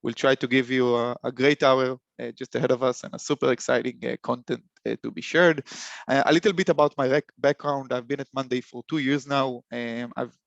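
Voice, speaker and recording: A young man with an Israeli accent presenting a webinar, halting speech full of fillers and restarts. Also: medium pitch, fairly even intonation, gravelly, dry recording. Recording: dull lo-fi call audio.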